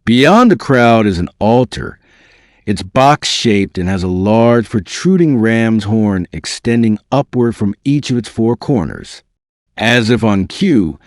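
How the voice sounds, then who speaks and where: Low-voiced man narrating with lively, swooping pitch. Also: no echo, clean studio sound. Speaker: narrator reading a book excerpt. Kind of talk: dramatic scripted audiobook narration.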